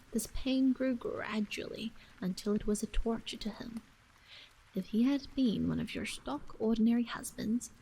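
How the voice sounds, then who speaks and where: A woman reads an old novel aloud as a bedtime ASMR storyteller, in a soft, breathy voice that often slips into a whisper, gentle and hushed, with frequent pauses. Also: a little high, poor audio. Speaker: storyteller and streamer reading aloud.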